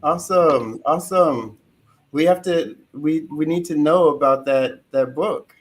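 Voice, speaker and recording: A man co-hosting a community panel, effusive and quick with praise. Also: fairly high pitch. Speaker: co-host.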